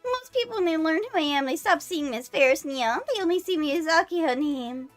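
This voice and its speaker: A woman's voice: high-pitched, lively intonation, a little fast, soft background music. A woman voicing a cutesy, playful cat-ear maid girl from a visual novel. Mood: earnest.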